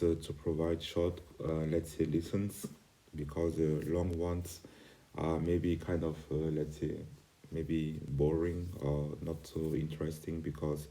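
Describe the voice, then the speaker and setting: A man from Cameroon with an extremely deep voice teaches an informal online lesson. He speaks as a non-native speaker, in halting, hesitant phrases. His intonation stays fairly flat and his tone is very dark and mellow. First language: not English. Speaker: company CEO, online course instructor.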